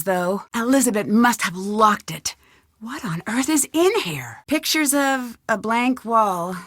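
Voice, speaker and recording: An actress voicing a curious, plucky young woman detective in a video game, thinking aloud to herself in clearly enunciated lines. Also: mid-range voice, no echo, clean studio sound, close to an everyday voice.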